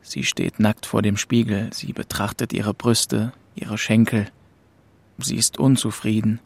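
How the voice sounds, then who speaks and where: Deep, gravelly, withdrawn young man. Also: flat delivery, a little slow, no echo. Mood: detached, melancholy. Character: grieving orphaned young man of twenty-two, aimless and withdrawn. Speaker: first-person narrator. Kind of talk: scripted narration in a radio drama. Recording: music behind the voice.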